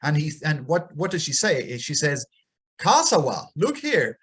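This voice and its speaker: A man reading and explaining an ancient text as an informal lecture, pausing and thinking aloud as he goes. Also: fairly high pitch, huge pitch swings, fairly bright tone, slightly rough. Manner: hesitant, thinking aloud with pauses and repeats.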